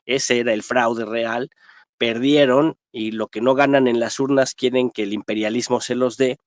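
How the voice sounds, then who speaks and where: A man's voice: medium pitch, steady tone, normal speed, no echo, clean studio sound. A man with a Mexican accent giving spontaneous political commentary as a guest analyst, emphatic and militant, often adding tag questions.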